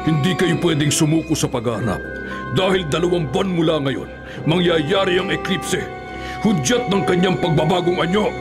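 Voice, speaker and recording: A man's voice: on the high side, normal speed, lightly acted. A man playing a ruthless, power-hungry monster king in a radio horror drama, imperious and commanding. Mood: menacing. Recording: dramatic music underneath.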